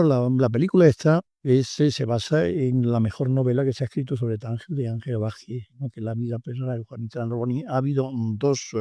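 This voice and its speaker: Older man, Tangier accent, very dark mellow voice, reflective, hesitant, dry radio studio. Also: mid-range voice, no echo. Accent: Tangier Spanish. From Tangier, Morocco. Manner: hesitant, reflective, many fillers. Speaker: author and guest. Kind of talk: radio interview.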